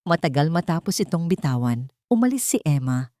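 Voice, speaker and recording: A woman's voice: low voice, sing-song, brisk, mellow. A woman reading a dramatic story as an audiobook narrator.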